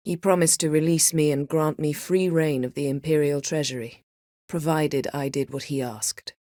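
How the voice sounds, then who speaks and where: Proud, commanding game sorceress, played by an actress: lowish female voice, sardonic and crisply articulated, recorded close and dry. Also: steady tone, normal speed, mellow, no echo, close to an everyday voice. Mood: composed, serious. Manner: imperious, sardonic, clipped and articulate. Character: haughty, sharp-witted adult sorceress, proud and commanding. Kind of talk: video game character voice acting.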